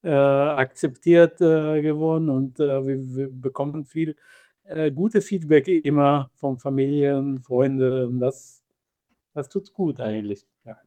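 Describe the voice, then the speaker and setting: A man with an Israeli accent and Hebrew as his first language, answering interview questions about his band in halting, filler-heavy speech with some non-native grammar. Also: mid-range voice, steady tone, warm tone, dry recording. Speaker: band member.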